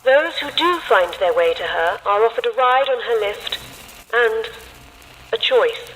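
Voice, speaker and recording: A woman's voice: a little high, animated, unhurried, fairly bright tone, rough recording. A woman playing a grave, mysterious storyteller, giving scripted dramatic narration of an eerie tale. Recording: faint noise, live theatre stage.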